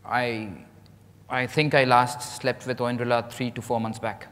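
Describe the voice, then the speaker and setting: A middle-aged man on stage playing a dignified novelist under interrogation, speaking in a measured, formal, defensive way. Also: medium pitch, little pitch movement, fairly bright tone, close to an everyday voice. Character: reserved, dignified male novelist being interrogated. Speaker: stage actor. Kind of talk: dramatized play reading on stage. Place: large hotel ballroom stage. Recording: echoey hall from far off.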